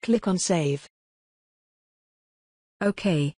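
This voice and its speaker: A woman reading scripted tutorial narration in a measured, impersonal, step-by-step way. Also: on the low side, dark tone, no room sound, studio quality.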